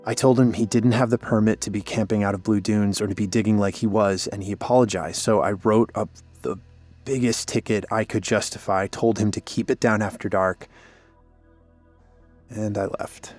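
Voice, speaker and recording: An actor playing a guilt-ridden, defensive former park warden in an audio drama, telling things in a weary, halting way. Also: male voice, on the low side, fairly even intonation, a little mellow, faint music behind, lightly acted. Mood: somber, haunted. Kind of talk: cinematic audio drama acting, naturalistic.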